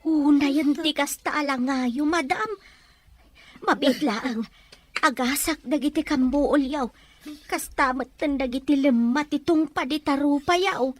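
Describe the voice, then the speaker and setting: A woman's voice: sits high, a little slow. A woman with an Ilocano accent playing a jumpy, outspoken, sympathetic housemaid in an audio drama. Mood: indignant, sympathetic. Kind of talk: Ilocano radio-style drama acting. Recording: dry, faint music behind.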